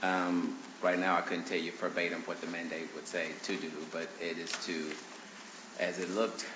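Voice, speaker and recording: A man arguing his own motions before a judge in a courtroom, speaking haltingly with many fillers and restarts, his voice congested from allergies. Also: low voice, little pitch movement. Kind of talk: courtroom argument. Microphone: courtroom microphone on a stand. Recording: roomy, dull courtroom recording with faint hiss.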